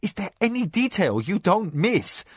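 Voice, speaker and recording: A man's voice: medium pitch, animated, unhurried, a bit bright, husky, no room sound, clean studio sound. A man voicing a young male nobleman, urgent and impassioned, who gives orders to his household. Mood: wondering. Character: young male noble, desperate, commanding, anguished.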